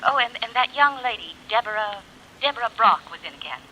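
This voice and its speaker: A woman playing a brisk, polite, deferential secretary in a 1950s radio drama. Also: a little high, animated, bright, forceful tone. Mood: businesslike. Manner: businesslike, polite. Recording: faintly noisy vintage radio recording.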